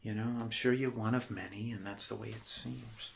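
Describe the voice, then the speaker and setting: A man doing an improvised, soft-spoken ASMR roleplay as an awkward, reassuring doctor, rambling hesitantly and apologetically. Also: fairly low pitch, fairly even intonation, normal speed. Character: awkward, unconventional, reassuring doctor welcoming an alien. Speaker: ASMR performer playing a doctor. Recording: clear background noise.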